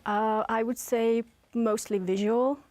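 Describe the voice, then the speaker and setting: A woman's voice: a little high, fairly even intonation, takes it slowly, darkish tone. A woman from Hungary with a Hungarian accent, speaking as a guest in a casual interview, hesitant with many fillers and restarts.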